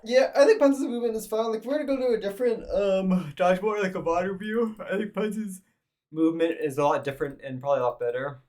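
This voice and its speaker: Hoarse-voiced male streamer, slightly high. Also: quick pace, darkish tone. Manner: rambling, thinking aloud with restarts. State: hoarse, losing his voice. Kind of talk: casual live-stream commentary.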